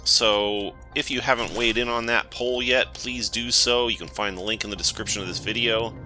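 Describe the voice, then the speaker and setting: A man's voice: medium pitch, normal speed, faint music behind. A man with a cold hosting a gaming channel, chatting casually and thinking aloud as he plays. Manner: relaxed, thinking aloud while playing. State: has a cold. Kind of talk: casual let's-play commentary.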